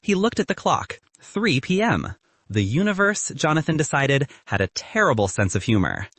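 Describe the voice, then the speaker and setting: A man's voice: a little high, very animated, normal speed, fairly bright tone, no echo. A man narrating scripted fiction as an audiobook reader.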